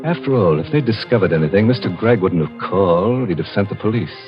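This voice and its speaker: A macabre storyteller narrates vintage radio horror in a low, insinuating voice. He sounds sardonic and mock-sympathetic. Prominent music sits behind the muffled recording. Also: animated, fast talker. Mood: ominous, sardonic. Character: macabre, sardonic male storyteller, gleefully ghoulish. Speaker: narrator and host of a thriller series.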